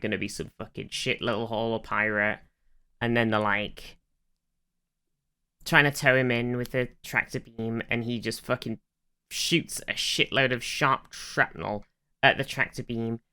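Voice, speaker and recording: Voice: mid-range voice, bright, forceful tone, clear, resonant tone. A podcast co-host explaining a TV show in casual, matter-of-fact, sweary chat.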